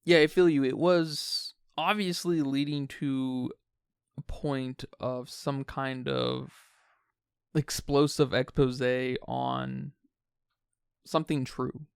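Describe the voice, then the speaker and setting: Creaky-voiced man discussing film. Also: medium pitch, fairly even intonation, slow, no echo, clean studio sound. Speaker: podcast co-host.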